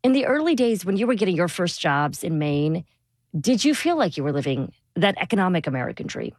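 Measured woman asking interview questions. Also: fairly low pitch, big pitch swings, dry recording, clean studio sound. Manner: measured, probing. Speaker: interview host.

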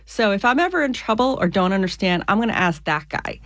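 A woman from the United States, an author being interviewed on the radio, explaining ideas clearly with everyday examples. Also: mid-range voice, sing-song, fairly quick, fairly bright tone, no room sound.

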